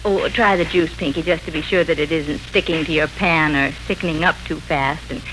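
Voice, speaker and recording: A woman's voice: low-pitched, fairly even intonation, moderate pace, a bit bright, slight background noise, low-quality recording. An actress playing a patient, instructive mother guiding her son in a 1940s radio drama.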